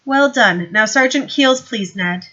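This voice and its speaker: A woman voicing a smug, scheming male police sergeant who is loud, oily and falsely innocent. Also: a little high, measured pace, muffled recording, voice pushed up.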